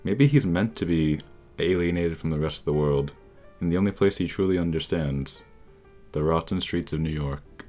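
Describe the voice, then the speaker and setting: A man's voice: low-pitched, normal speed, a little mellow. A man reading a scripted, analytical video essay as narrator, with instrumental music behind him.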